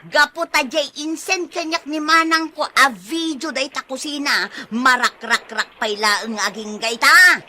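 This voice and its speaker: A woman's voice: high voice, unhurried, sharp tone, a little hiss or hum, exaggerated voice. A woman playing a bossy, scolding wife with an Ilocano accent in a comedy drama.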